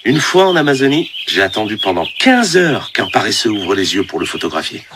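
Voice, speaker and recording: Excitable cartoon dad, wildly swooping pitch. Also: male voice, a little fast, noisy background. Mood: hushed, patient. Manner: dramatic, boastful, easily carried away. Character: absent-minded, excitable photographer father. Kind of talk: French cartoon dub acting.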